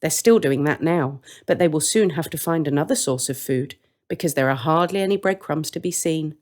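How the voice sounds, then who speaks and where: A woman's voice: deep voice, brisk, darkish tone. A woman narrating a scripted story in a wry manner, speaking directly to the listener.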